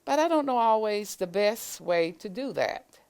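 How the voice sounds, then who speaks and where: A woman's voice: mid-range voice, expressive, unhurried, a little mellow, smooth. A woman voicing an earnest, questioning little boy in a children's storybook. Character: earnest, uncertain little boy seeking answers.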